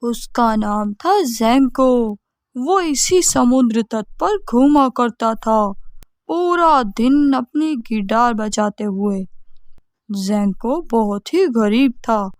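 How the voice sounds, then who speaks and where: A woman narrating a scripted bedtime story in measured, deliberate phrases. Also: fairly high pitch, animated, dry recording, studio quality.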